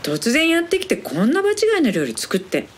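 Woman playing gentle, demure woman, expressive melody. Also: on the high side, fairly quick, background noise. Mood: grateful, gentle. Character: gentle, demure adult woman, quietly coquettish. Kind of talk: radio drama acting.

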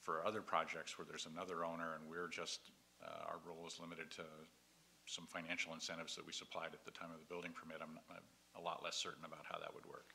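A man explaining matters as a city official at a meeting, measured and matter-of-fact. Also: deep voice, little pitch movement, vocal fry, pushed and bright. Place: council meeting room. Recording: faint hum on meeting audio.

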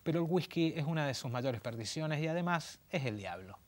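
A man in his forties with an Argentine Spanish accent, hosting and reading a story aloud in an intimate, theatrical way with slow, dramatic pauses. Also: fairly high pitch, lively intonation. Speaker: host and narrator. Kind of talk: late-night story reading. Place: small dim studio set.

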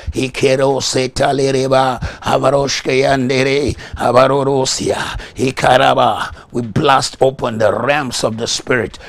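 Gravelly-voiced fervent preacher, man. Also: medium pitch. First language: Yoruba. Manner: fervent, rhythmic bursts of rapid tongues between teaching. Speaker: pastor. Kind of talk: televised morning devotional sermon with tongues. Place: TV studio set. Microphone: lapel mic.

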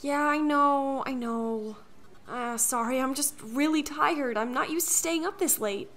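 A woman's voice: high-pitched, measured pace, a bit bright. A woman playing an anxious, studious teenage girl in an audiobook. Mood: nervous, tired. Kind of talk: audiobook character acting.

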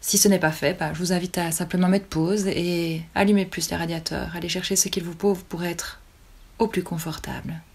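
A woman leading a guided relaxation as a yoga nidra teacher, calmly and soothingly, with long pauses between short phrases. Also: on the low side, vocal fry, breathy edge.

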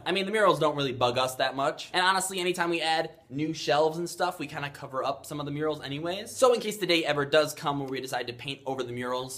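A young man in his twenties hosting a cheerful merch update, recorded in a large dim warehouse. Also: on the high side. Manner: energetic, talks with his hands. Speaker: host. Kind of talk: upbeat merch update vlog.

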